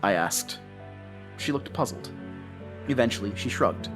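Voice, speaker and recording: An actor playing a haunted, grieving father, narrating a horror audio drama in the first person with somber, deliberate phrasing. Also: mid-range voice, lively intonation, natural voice. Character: haunted, grieving father recounting his ordeal. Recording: loud guitar music under narration.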